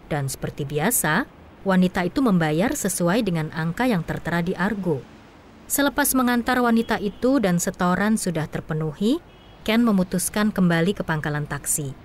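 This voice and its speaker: A woman narrating a scripted audio drama in an even, measured storytelling manner. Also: medium pitch, a little hiss or hum.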